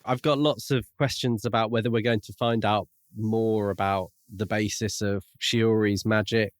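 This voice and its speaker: A man's voice: on the low side, steady tone, moderate pace, dry recording, clean studio sound. A man co-hosting a podcast, musing aloud about a book in a casual, conversational way. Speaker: podcast co-host. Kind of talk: book discussion, casual analytical chat.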